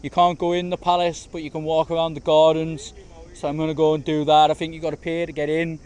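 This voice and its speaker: Hoarse, chatty man outdoors. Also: on the high side, monotone, quick pace, a little mellow. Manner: chatty, slangy, easygoing. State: slightly hoarse from shouting. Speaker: travel vlogger. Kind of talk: casual walking vlog narration. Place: outdoors in large city gardens, walking in heat.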